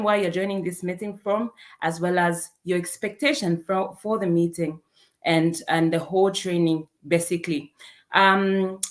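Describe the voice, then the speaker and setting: Enthusiastic Zambian woman hosting online. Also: mid-range voice. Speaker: event moderator and host. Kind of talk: welcoming an online audience to a training event. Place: online video meeting.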